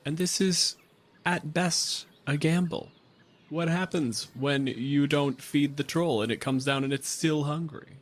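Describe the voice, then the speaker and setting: A man's voice: on the high side, measured pace. A man hosting as game master, telling the story conversationally with dramatic emphasis as he improvises. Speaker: game master and show host. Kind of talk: improvised tabletop game narration.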